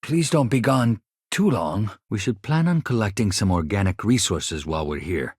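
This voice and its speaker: Casting: video game engineer, earnest accident survivor, polite and hesitant. Husky, lively intonation, dry close studio sound. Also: male voice, lowish voice, normal speed, no room sound. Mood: calm, friendly. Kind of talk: video game dialogue acting.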